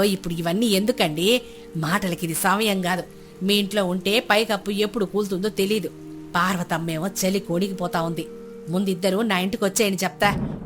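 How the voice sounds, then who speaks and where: A woman plays a kind, practical old village woman in a storybook tale. She speaks in a homely, pleading and reassuring way, forgiving and a little lonely. Music plays softly underneath. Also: medium pitch, natural voice. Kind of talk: moral storybook character dialogue.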